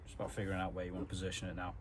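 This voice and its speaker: A man's voice: deep voice, completely flat delivery, very fast, noisy background. A man giving a casual, matter-of-fact hands-on car tutorial, explaining things step by step in informal words. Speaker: DIY car mechanic vlogger.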